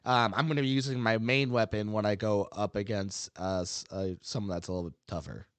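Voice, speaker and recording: A man's voice: on the low side, fairly even intonation, fairly quick, dry recording. A man co-hosting a casual podcast about a game, rambling with frequent ums and uhs and laughing easily. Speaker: podcast co-host.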